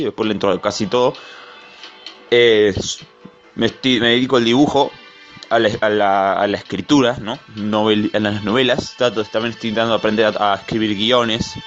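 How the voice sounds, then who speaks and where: A man's voice: medium pitch, a bit bright. A man records a casual voice message about himself. He rambles along and pokes fun at himself. The sound is dull and lo-fi, with faint music underneath. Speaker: fan sending a voice message. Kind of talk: casual solo monologue introducing himself.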